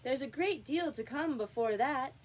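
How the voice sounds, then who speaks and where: Fussy rabbit court herald for a storybook, very high and declamatory , played by a woman. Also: animated, moderate pace. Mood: hasty.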